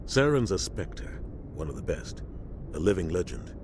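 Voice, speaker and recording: An actor playing a seasoned, loyal military starship captain in a video game, grave and measured with authority. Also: male voice, low voice, big pitch swings. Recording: clear engine-like ship noise behind.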